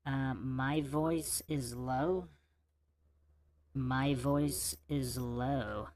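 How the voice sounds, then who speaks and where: A man's voice: mid-range voice, fairly even intonation, darkish tone. A man hosting a casual livestream Q&A, talking through audio troubles and restarting words. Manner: unhurried, restarting words while fixing audio settings. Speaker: livestream host.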